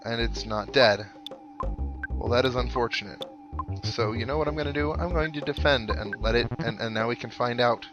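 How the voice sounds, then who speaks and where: Male let's player, mid-pitched, casual commentary, reasoning aloud through tactics, video game music clearly behind him. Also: normal speed, highs cut off. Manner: muses aloud, thinking through tactics in asides.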